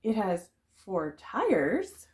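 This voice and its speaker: A woman voicing timid but curious, easily startled chickens from a children's storybook in an exaggerated, expressive way. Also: medium pitch, extremely slow, voice pushed down. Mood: hushed curiosity.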